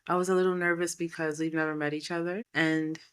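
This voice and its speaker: Podcast guest, female, thirties, from New York. Low pitch, clean resonant tone, conversational. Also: little pitch movement, fast talker, smooth. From New York, United States. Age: 30s. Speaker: podcast guest, brand consultant. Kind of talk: conversational round-table introduction.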